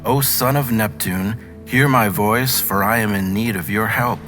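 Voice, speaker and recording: A humble old storybook husband who fishes for a living, played by a male narrator. He speaks with earnest, pleading deference, pitched above the reader's own low voice, over clear music. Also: unhurried, fairly bright tone. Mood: calling out, pleading.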